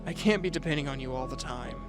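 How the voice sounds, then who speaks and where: Audio drama lead: dutiful young prince and heir played by a man, reserved and measured, narrating his own tale over music. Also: mid-range voice, close to an everyday voice. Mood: quietly resolute. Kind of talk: first-person audio drama narration with dialogue. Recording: music and rain behind the voice. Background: music and rain.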